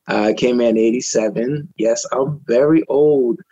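A man from Trinidad, a guest on a talk show, relaxed in telling his own story with many fillers, speaking from his home over a video call. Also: mid-range voice, a little slow, breathy edge, no room sound, muffled recording. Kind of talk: personal testimony in casual chat.

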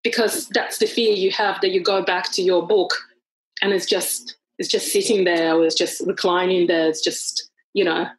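A woman's voice: lowish voice, fairly even intonation, normal speed, poor audio. A woman with a heavy foreign accent, reading from her own book and then talking it over thoughtfully, with restarts. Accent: foreign accent in English. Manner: thoughtful, searching for words, frequent restarts. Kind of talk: reading aloud, then conversational interview.

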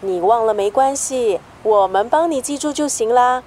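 A playful, pushy best friend, acted by a woman, chats in a high, exclamatory voice. Clear ambient traffic noise runs behind this radio-drama scene. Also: moderate pace, darkish tone, lightly acted. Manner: teasing, exclamatory. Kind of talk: Mandarin radio drama acting.

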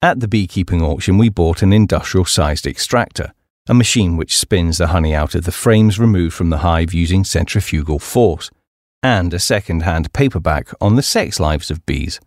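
Male audiobook narrator for a light memoir: lowish register, animated intonation, articulate and measured storytelling. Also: no echo, studio quality.

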